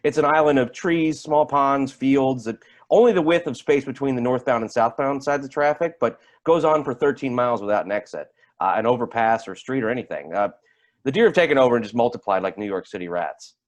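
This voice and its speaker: An edgy, foul-mouthed highway patrolman , acted by a man in a stage drama. He talks quickly and trips over his words, his pitch rising and falling in a lively way as he rants. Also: natural voice. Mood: agitated storytelling. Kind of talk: staged play reading.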